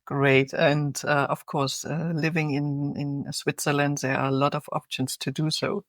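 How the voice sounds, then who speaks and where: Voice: mid-range voice, flat delivery, unhurried, no echo, clean studio sound. A podcast host who opens the show and asks interview questions in a friendly manner.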